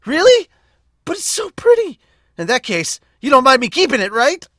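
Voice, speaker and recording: A man voicing a loud, eager, hot-blooded young hero in an amateur manga dub. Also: very high voice, huge pitch swings, normal speed, no room sound, clean studio sound, pitched above the performer's own voice, full character voice. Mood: excited, urgent. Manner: shouting, eager.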